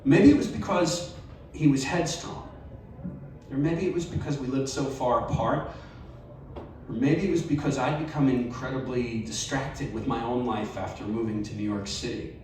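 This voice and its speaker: A man reading a personal essay aloud at a live event, measured and expressive with wry emphasis. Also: mid-range voice, slight background noise. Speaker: story reader. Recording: very echoey live room, distant mic.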